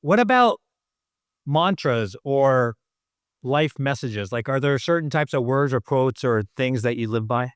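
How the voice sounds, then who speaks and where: A man's voice: mid-range voice, animated, a little slow, clean tone, no echo, studio quality. A man hosting a podcast, enthusiastic and conversational as he welcomes and questions a guest. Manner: enthusiastic, conversational, uses "you know". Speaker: podcast host. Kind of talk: casual interview hosting.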